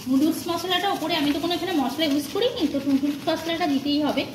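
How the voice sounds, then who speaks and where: A woman's voice: high voice, quick pace. A middle-aged woman chatting casually to viewers as she narrates her home cooking step by step, with little asides. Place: home kitchen. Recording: echoey kitchen with clear sizzling and stirring noise. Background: frying sizzle, stirring.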